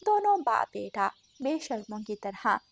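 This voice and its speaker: A woman's voice: a little high, sing-song, a little slow, creaky. A woman reading a scripted children's story as narrator.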